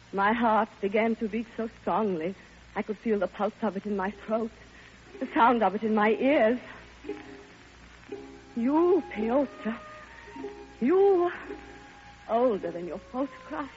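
An actress playing a lonely, lovestruck older Russian widow in an old radio drama, theatrical and yearning, with halting repetitions. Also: female voice, fairly high pitch. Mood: breathless awe. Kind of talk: classic radio drama monologue. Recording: muffled old radio recording, music behind.

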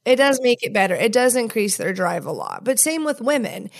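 A woman's voice: fairly high pitch, huge pitch swings, a little fast, creaky. A woman talking casually and candidly in an interview, frank and swearing freely. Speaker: podcast host appearing as guest.

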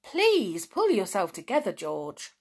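Woman reading as a sensible, bossy boy, lively delivery. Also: mid-range voice, exaggerated voice. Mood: impatient, firm. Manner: brisk, reassuring, authoritative. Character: sensible, bossy older boy, firm but soothing.

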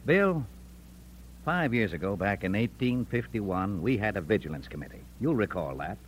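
Amiable eccentric frontier editor, folksy and expressive, 1940s radio play with light background noise. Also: male voice, on the low side, normal speed. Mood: wry, resolute. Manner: folksy, easygoing, drawling old-West turns of phrase. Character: amiable, eccentric, stubborn crusading newspaperman in 1850s San Francisco. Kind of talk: 1940s American radio drama acting. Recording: noisy old radio broadcast recording.

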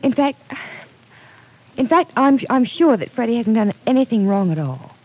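A woman's voice: a little high, animated, moderate pace, slightly rough, highs cut off. An actress playing an earnest, stubbornly loyal young woman in an old-time radio soap opera. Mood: earnest, defensive.

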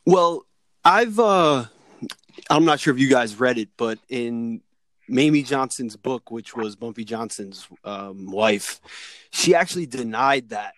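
A man's voice: medium pitch, lively intonation, a bit bright, no echo. Male guest, casual remote chat, dry sound, thoughtful and hesitant. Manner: measured, hesitant storytelling with fillers. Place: home, remote call.

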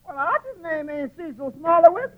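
A man's voice: very high voice, very animated, moderate pace. A man playing a long-suffering, wisecracking tavern waiter in 1940s radio comedy, with dry quips and stammered repeats. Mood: dry, puzzled. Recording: muffled 1940s radio broadcast recording.

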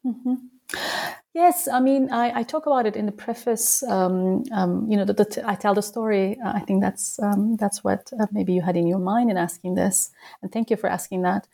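Gravelly, mellow-voiced woman from Turkey. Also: mid-range voice, normal speed. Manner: thoughtful, many fillers and restarts. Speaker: guest author, human rights lawyer. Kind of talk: interview answers.

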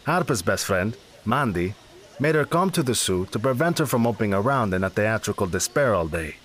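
A man's voice: fairly low pitch, lively intonation, normal speed, fairly bright tone. A man narrating a comedy audio drama in a dry, matter-of-fact way. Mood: wry.

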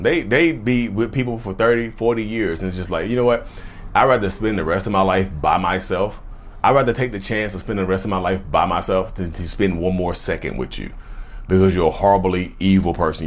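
A very low-pitched man. He speaks casually and directly to the listener in folksy, colloquial language. He leans on repeated phrases to drive things home. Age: late 30s. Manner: emphatic, repeats phrases for stress. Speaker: vlogger speaking about his own narcissism. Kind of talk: casual direct-to-viewer talk.

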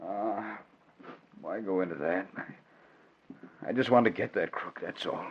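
A man's voice: sits low, a little slow, slight background noise. An actor playing a bitter, despairing man ruined by gambling, in 1940s radio drama style. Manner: defeated, embittered, emphatic. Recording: dull old radio recording.

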